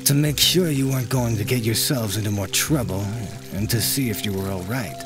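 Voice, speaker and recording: Woman doing a deep, gruff, irritable man. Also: a little fast, over music. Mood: irritated, curt. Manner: curt, grumbling. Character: gruff, irritable man, a washed-up fantasy sorcerer. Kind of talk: audiobook character voice.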